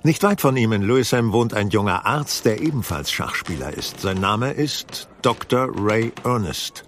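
Low-voiced, wry master detective. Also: male voice, lively intonation, fairly bright tone, slight background noise. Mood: measured, explanatory. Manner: measured, articulate, dryly ironic explaining. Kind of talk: German audio drama acting.

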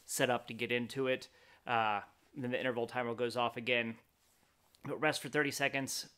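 Guy in his 40s doing a laid-back garage vlog, clean smooth voice, kinda halting. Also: medium pitch, sharp tone. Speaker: fitness vlogger. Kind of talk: casual vlog talk. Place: wooden garage with the door open.

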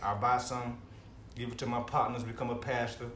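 A man's voice: mid-range voice, flat delivery, moderate pace, a bit bright, natural voice. A man playing a stressed, skeptical best friend in an amateur comedy table read, slangy, profane and incredulous. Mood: exasperated. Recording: echoey room, faint noise.

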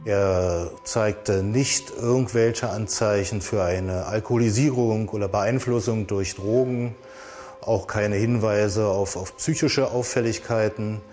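A man's voice: on the low side, fairly even intonation, slow, darkish tone, soft background music. A man speaking as a police investigator, giving a sober statement in formal, official wording.

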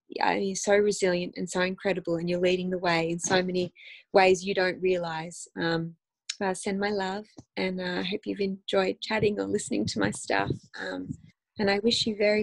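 A woman's voice: on the low side, creaky, dry recording, poor audio. A woman in her thirties with an Australian accent, chatting as an interview guest over a phone video call from home, with lots of ums and restarts. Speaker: guest actress. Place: home room, indoors.

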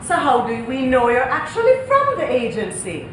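Snooty wife in echoey theatre. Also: female voice, high voice, wildly swooping pitch, moderate pace. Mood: suspicious, sarcastic. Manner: haughty, precise, sarcastic. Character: snooty, sharp-tongued wife interrogating the new help. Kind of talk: comedic stage play acting. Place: theatre stage before an audience. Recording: echoey theatre, noisy, audience behind.

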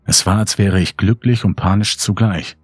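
A man reading a horror story as scripted dramatic audiobook narration, grave, measured and brooding. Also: lowish voice, fairly even intonation, husky, dry recording. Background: faint music.

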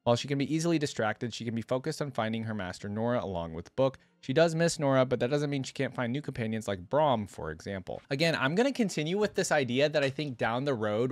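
A young man hosts a casual reaction video in a chatty, opinionated way. His pitch moves up and down freely in an animated delivery. The sound is dry and close, as from a desk microphone. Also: brisk, few pauses, dry recording. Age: young adult. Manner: chatty, opinionated, thinks aloud. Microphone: close desktop microphone, headset on.

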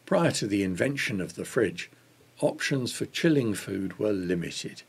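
A man in his sixties reading a devotional book aloud in a measured way. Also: fairly low pitch, animated, creaky, breathy edge. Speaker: reader of a church Lent devotional.

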